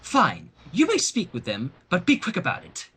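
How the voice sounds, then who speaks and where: A man's voice: high voice, huge pitch swings, moderate pace, sharp tone, husky, exaggerated voice. A man voicing a vain, indignant young female pony who is haughty, demanding and melodramatic in a storybook reading. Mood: suspicious, imperious.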